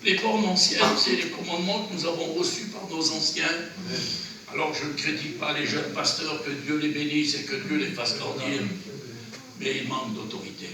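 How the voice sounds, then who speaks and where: Preacher with a cold in an echoey church. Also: male voice, on the high side, sharp tone. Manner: earnest, exhorting, pausing between short phrases. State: has a cold, runny nose. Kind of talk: sermon. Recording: very echoey church, from far off, noisy.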